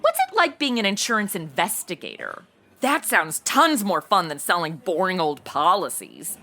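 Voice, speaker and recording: A woman's voice: on the low side, big pitch swings, normal speed, sharp tone, soft background music, full character voice. A woman playing a bubbly, ditzy young insurance seller in a comedy audio drama, with flippant, slangy Valley-girl chatter. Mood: eager, pleading.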